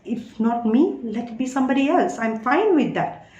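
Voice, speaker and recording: A woman's voice: a little high, big pitch swings, moderate pace, darkish tone, roomy sound, highs cut off. A woman in her thirties who reads tarot, talking in an emphatic spiritual monologue and often checking in with "all right?". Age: 30s. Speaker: tarot reader.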